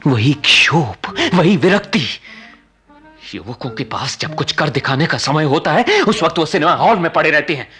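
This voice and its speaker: An actor in a radio play voicing a troubled, guilt-ridden young man, injured and intense, who speaks haltingly and repeats his words. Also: a little high, expressive, pushed and bright, faint music behind. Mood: intense, bitter. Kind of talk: Hindi radio play acting.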